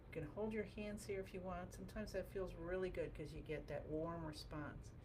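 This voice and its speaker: A woman with a gravelly voice guiding a qigong class through movement and meditation in a gentle, encouraging, informal way. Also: fairly low pitch, fairly even intonation, brisk. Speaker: qigong teacher. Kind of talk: guided movement and meditation instruction. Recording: clearly noisy background.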